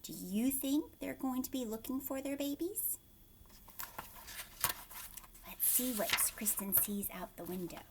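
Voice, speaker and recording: A woman teacher reading a storybook aloud to children, expressive and addressing young listeners directly. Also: a little high, a little slow, long pauses, a little hiss or hum.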